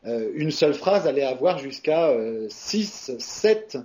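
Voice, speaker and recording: A man's voice: fairly high pitch, very animated, slow, a little mellow. A man from France, a voice actor, answering interview questions in a hesitant, casual way with many fillers and restarts. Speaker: voice actor guest. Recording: muffled lo-fi recording.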